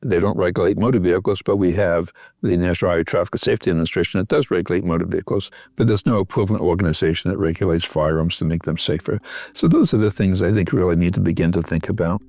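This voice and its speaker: A man with a deep-pitched voice in a very dry recording answers as an expert interview guest. He explains earnestly, circling back to key phrases. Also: quick pace, mellow, no echo. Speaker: expert guest, physician researcher. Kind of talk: podcast interview.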